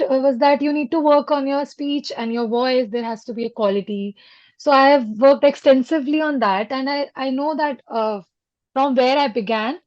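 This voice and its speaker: A woman with an Indian accent and a high voice puts a question politely. She is hesitant and deferential. The recording is dry but dull and lo-fi. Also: no echo. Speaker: actor in training, audience questioner.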